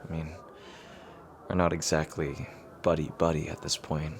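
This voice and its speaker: Deep-voiced earnest young-hearted rival king, played by a man. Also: flat delivery, a little hiss or hum. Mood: tentative, persuasive. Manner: hesitant, halting, earnest. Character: earnest lovestruck rival king proposing to an enemy knight. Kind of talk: romantic audio roleplay acting, intimate ASMR.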